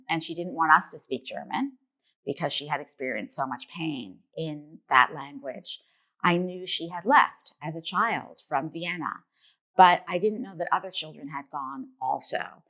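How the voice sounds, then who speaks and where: Low-voiced woman, earnest storyteller. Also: expressive, moderate pace, a bit bright. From New York, US. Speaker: documentary filmmaker. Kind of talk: interview answers.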